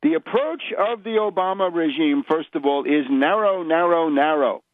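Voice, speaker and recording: A man delivering an unscripted political radio monologue in a scornful, emphatic, opinionated manner, with halting, deliberate phrasing. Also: on the high side, expressive, dry recording. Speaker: political radio commentator and host. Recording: dull, lo-fi radio audio.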